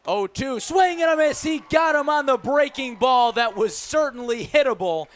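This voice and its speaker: A man's voice: very high-pitched, expressive, normal speed, faint music behind. A man calling baseball play-by-play live on the radio from a ballpark, punching up the big moments. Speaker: play-by-play announcer. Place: outdoor ballpark broadcast.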